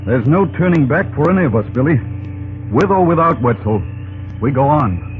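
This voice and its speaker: Tinny old radio play, actor as earnest expedition leader, respectful but resolute, really expressive pitch. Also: male voice, normal speed, soft background music, lightly acted. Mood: determined. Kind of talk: old-time radio drama acting.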